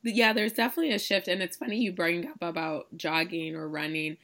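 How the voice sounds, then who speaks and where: Raspy-voiced woman podcast host. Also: on the low side, steady tone, fast, fairly bright tone. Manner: chatty, laughing easily, enthusiastic. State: hoarse after illness. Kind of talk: casual chat.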